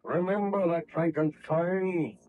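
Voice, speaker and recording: An actor playing a brooding, vengeful monster, speaking slowly and formally with menace in 1930s radio drama style. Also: male voice, fairly high pitch, steady tone, a little hiss or hum. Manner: slow, menacing, deliberate, formal. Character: brooding, vengeful male monster, hideous murderous creation. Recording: dull lo-fi old radio recording.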